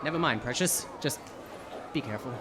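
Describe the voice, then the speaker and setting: An actor playing a wry, hardboiled private detective in an old-time radio play, with clipped noir delivery. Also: male voice, mid-range voice, a little fast, over a noisy crowd, heavy background noise, lightly acted. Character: wry, hardboiled male private detective, narrating his case. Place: live theatre stage.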